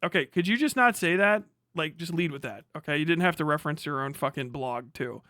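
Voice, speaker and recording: High-pitched, sarcastic man reacting on stream. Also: brisk, sharp tone, no echo, clean studio sound. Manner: sarcastic, mocking, swears freely. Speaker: livestream host reacting to an article. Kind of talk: casual reaction commentary, reading aloud and riffing.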